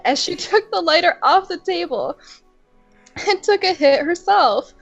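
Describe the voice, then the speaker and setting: A young woman reading amateur fan fiction aloud, often giggling between lines. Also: high voice. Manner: reads aloud with frequent giggles. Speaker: co-reader. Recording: dull lo-fi home recording. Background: faint music.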